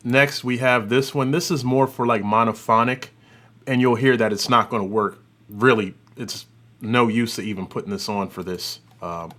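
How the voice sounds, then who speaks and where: A man hosting an audio software tutorial, giving an informal, unscripted demonstration and thinking aloud as he works. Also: mid-range voice, fairly even intonation, normal speed. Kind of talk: informal demonstration and review commentary.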